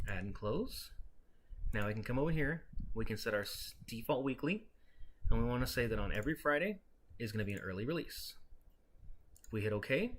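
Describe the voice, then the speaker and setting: A man with a medium-pitched voice walks through a piece of software as a tutorial presenter. He explains each step in a conversational, instructional manner, speaking directly and casually to the person following along. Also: slight background noise.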